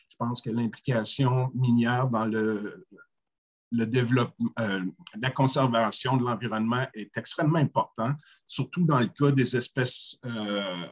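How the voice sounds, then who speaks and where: A man in his forties with a Quebec French accent, speaking slowly on a video call panel with many pauses. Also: mid-range voice. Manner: measured, many hesitant "euh" pauses. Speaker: First Nation panelist. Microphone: webcam on a video call.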